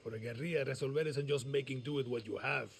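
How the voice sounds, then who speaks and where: An actor playing a crude, boastful older guerrilla veteran in a video game cutscene, cursing and joking about danger. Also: male voice, medium pitch, fast talker, a little hiss or hum, close to an everyday voice. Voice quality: gravelly. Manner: profane, swaggering, laughs at danger.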